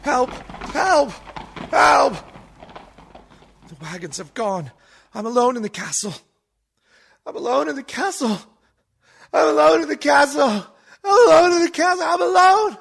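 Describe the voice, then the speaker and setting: A man's voice: very high voice, lively intonation, measured pace, vocal fry, long pauses, a bit bright, exaggerated voice. An actor playing a terrified young Englishman, a captive close to madness, giving fraught dramatic speech in a radio horror play. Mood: hysterical. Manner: fraught, dramatic diary narration.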